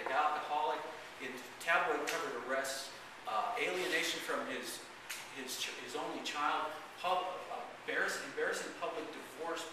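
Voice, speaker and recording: Man reading aloud in an echoey room. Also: medium pitch. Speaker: author reading from own novel. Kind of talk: book introduction and dramatic reading aloud. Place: echoey room, recorded from a distance. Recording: echoey room from far off, noisy.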